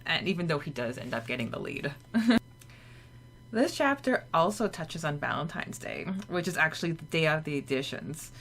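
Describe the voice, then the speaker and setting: A woman's voice: low voice, fairly bright tone. A woman co-hosting a podcast, chatting casually and giggling often while discussing a story chapter. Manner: giggly, chatty, lots of fillers. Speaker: podcast co-host. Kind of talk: casual chat about a fanfiction chapter.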